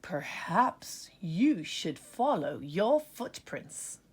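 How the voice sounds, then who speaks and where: A woman voicing a helpful, calm owl in a storybook, hooting and giving drawn-out advice. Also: on the low side, husky, full character voice. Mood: advising.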